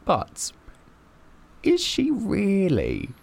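A man's voice: sits high, wildly swooping pitch, drawn-out words, gravelly, darkish tone. A man co-hosting a casual podcast chat, making his case emphatically and at length. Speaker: podcast co-host.

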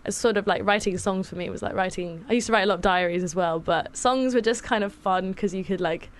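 A young woman with an English accent talks casually and easily, full of fillers and little laughs. Her voice sits at a middle pitch. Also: quick pace. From England. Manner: chatty, laughing, lots of fillers. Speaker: musician guest. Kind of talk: casual chat.

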